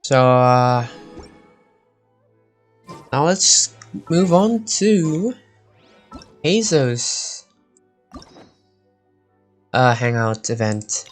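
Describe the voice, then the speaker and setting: A man's voice: mid-range voice, sing-song, very slow, pauses often, faint music behind. A man doing casual live gameplay commentary, rambling and thinking aloud. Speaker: game streamer.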